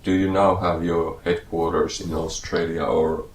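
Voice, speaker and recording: Deep, gravelly male interviewer in a roomy space. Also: flat delivery, measured pace, a little hiss or hum. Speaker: interviewer host. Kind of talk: casual interview questions. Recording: roomy echo.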